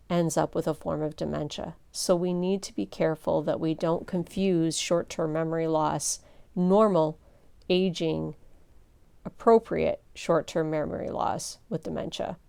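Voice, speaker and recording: A middle-aged woman pastor speaks in a soft, low voice with a mellow, smooth warmth and a touch of fry. She teaches gently and earnestly, pausing between thoughts. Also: steady tone. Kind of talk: teaching seminar talk.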